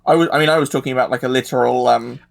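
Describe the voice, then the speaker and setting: Gravelly man, thinking aloud. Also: mid-range voice, steady tone, rapid-fire. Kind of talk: casual political discussion.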